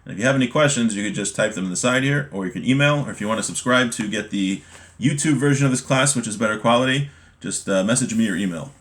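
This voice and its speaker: A man's voice: lowish voice, quick pace, fairly bright tone. An American man teaching an informal online religion class, explaining in a conversational way with everyday examples and checking in with the listener. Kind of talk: informal text study, reading aloud then explaining with examples.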